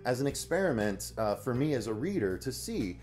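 A man's voice: mid-range voice, very animated, fairly quick, a little mellow, soft background music. A man in his thirties with an American accent, co-hosting a conversational comic book review. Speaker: review show co-host. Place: small indoor room lined with comic shelves.

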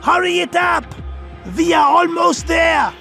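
A man voicing a harsh German officer who barks orders in a strained, gravelly shout. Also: extremely high pitch, steady tone, measured pace, pitched above the performer's own voice, exaggerated voice. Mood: barking. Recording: music playing underneath.

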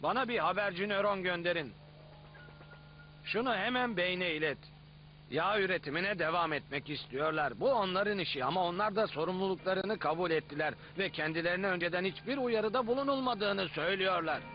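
Cartoon master, flustered yet in charge, high register, male actor, dull soundtrack. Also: brisk, soft background music, natural voice. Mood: commanding. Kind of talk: dubbed educational cartoon acting.

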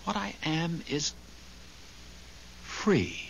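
An anxious, newly dead husband in a 1970s radio play. His voice is fairly high and very lively, earnest and hesitant. A faint hiss runs through the old recording. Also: very slow, creaky, heavily acted. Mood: elated, earnest. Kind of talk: 1970s radio drama acting.

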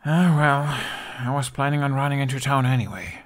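A man's voice: mid-range voice, moderate pace, voice pushed up, close to an everyday voice. A man voicing a wry, playful, scrawny teenage boy with sarcastic animation in an audiobook. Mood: casual, grumbling. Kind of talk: audiobook character acting.

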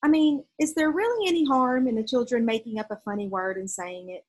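Woman voicing storybook mother, outspoken, protective, firm, questioning, husky. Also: a little high, a little fast, close to an everyday voice. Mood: annoyed, challenging.

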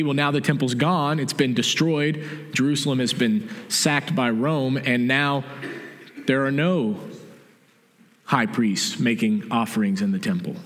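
Man preaching in a reverberant church. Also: medium pitch. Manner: explains calmly, restates key points. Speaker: pastor. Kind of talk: sermon. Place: church sanctuary with stage. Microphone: stage mic from a far camera.

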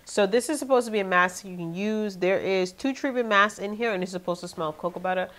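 A woman's voice: mid-range voice, quick pace. A young woman with a nasal, congested voice chatting to her viewers about her shopping haul in her living room. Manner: chatty, animated, talks to viewers directly. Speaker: vlogger. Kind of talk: casual haul video chat.

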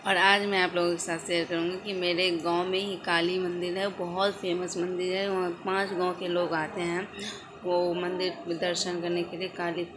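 A young woman in her twenties, hoarse from a cold, talking casually to her phone in a low, subdued way on a rooftop. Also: little pitch movement, normal speed, noisy background. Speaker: vlogger. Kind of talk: casual vlog talk to camera. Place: rooftop terrace outdoors. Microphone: phone at arm's length.

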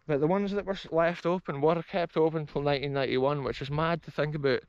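A man's voice: a little high, steady tone, quick pace, smooth, dry recording, lo-fi sound. A Scottish man in his thirties, exploring an open field and talking eagerly to viewers while filming himself on an action camera. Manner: enthusiastic, addresses viewers as folks. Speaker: explorer vlogger. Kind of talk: walking tour commentary. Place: open grassy field outdoors. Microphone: action camera held close.

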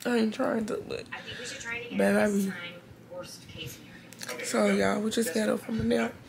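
A woman's voice: medium pitch, level tone, unhurried, gravelly, a crowd in the background. A young woman in her twenties talking casually and playfully to her viewers with slang, filming herself at home. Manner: laid-back, slangy, playful. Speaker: vlogger. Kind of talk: casual vlog chat. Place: small home bathroom. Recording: background noise.

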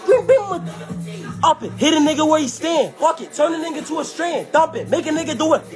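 A young man doing a squeaky helium voice as an aggressive, boastful drill rapper. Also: lively intonation, a little fast, music in the background. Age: young adult. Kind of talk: drill rapping.

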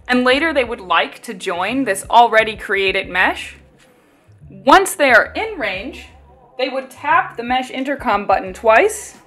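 A woman's voice: fairly high pitch, pushed and bright. A woman reading a scripted instructional narration for a product tutorial. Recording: music bed behind voice. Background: background music.

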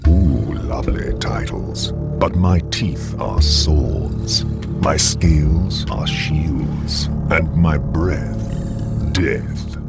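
Deep, slow, menacing dragon. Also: male voice, vocal fry, full character voice. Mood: mocking, boastful. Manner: slow, sneering, grandiose menace. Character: huge menacing dragon, male, mocking and vain. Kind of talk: theatrical video game acting. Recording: loud music under the voice.

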